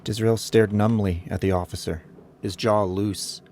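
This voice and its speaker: Guy reading a dramatic audiobook, low voice, noisy ambience going on behind him. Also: steady tone, measured pace, darkish tone. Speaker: audiobook narrator. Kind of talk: dramatic scripted narration.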